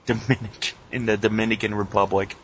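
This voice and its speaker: A man co-hosting a casual podcast with teasing, profane banter and halting, informal review talk. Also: fairly low pitch, flat delivery, fairly bright tone, a little hiss or hum. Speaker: podcast host. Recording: muffled lo-fi internet call recording.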